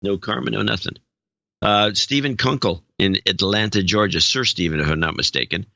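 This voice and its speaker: A man's voice: on the low side, normal speed, creaky, sharp tone, no room sound, highs cut off. A man co-hosting a talk podcast, reading listener notes aloud with dry humor. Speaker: podcast co-host. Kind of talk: casual chat, reading donor notes.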